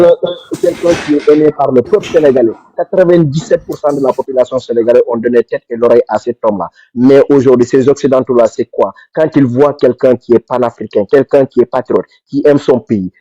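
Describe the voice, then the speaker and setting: A man's voice: mid-range voice, brisk, few pauses, dark tone, no echo. A man from Senegal, giving an impassioned political speech with fervent, repetitive emphasis. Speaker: pan-African activist speaker. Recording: dull dry lo-fi recording.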